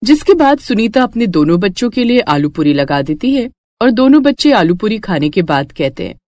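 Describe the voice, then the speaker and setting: A woman's voice: mid-range voice, big pitch swings, brisk, darkish tone, dry recording, clean studio sound. A woman reading scripted story narration as a storyteller.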